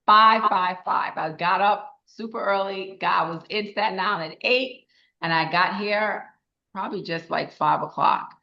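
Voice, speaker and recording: Middle-aged woman, raspy tired voice, upbeat chatty meeting report, dull lo-fi sound. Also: mid-range voice, moderate pace. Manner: enthusiastic, chatty, with frequent restarts and laughs. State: tired voice after a long day. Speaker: education official giving a report at a council meeting. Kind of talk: informal spoken report at a public meeting.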